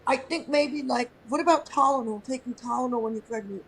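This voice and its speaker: A man with a high, feminine-sounding, raspy voice, chatting casually and bluntly as a guest and asking naive questions. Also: brisk, a bit bright, low-quality recording. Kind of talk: casual chat.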